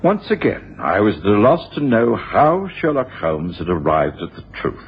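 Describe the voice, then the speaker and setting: A gentleman storyteller recounting his friend's cases. The voice is low and very husky, measured and reflective, heard through a tinny old radio broadcast. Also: big pitch swings, heavily acted. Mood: reflective, puzzled. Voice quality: gravelly, husky. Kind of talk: 1980s radio drama narration.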